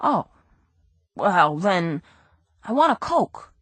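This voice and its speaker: Woman plays a sniveling two-bit crook, high and whiny, rough grammar, nervous. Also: very animated, drawn-out words, no echo, heavily acted. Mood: whiny pleading. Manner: whining, pleading, rough grammar.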